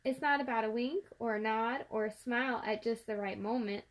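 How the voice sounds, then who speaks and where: A woman reading a children's picture book aloud in an expressive storytelling manner. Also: a little high, fairly quick. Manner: expressive, acts out sound words and dialogue.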